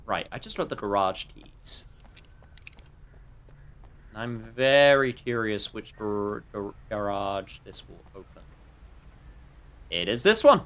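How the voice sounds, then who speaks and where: A man's voice: on the low side, lively intonation, slow pace, long pauses, fairly bright tone, smooth. A man giving casual, wry gameplay commentary as a streamer, joking at his own expense and thinking aloud. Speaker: streamer playing a game. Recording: faint game music behind.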